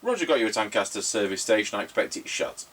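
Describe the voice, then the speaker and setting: A man's voice: lowish voice, very animated, fast, a bit bright. A middle-aged man chatting casually in a rambling, anecdotal way while giving radio test commentary. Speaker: radio repairer and presenter. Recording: noisy, distant microphone.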